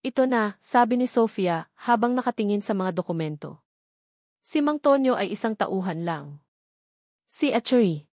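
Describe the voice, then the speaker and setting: A woman reads a scripted, dramatic story as its narrator. Her voice sits at an average pitch for a woman. The recording is very dry, with no room sound around the voice. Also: lively intonation, normal speed, long pauses, a little mellow, no room sound.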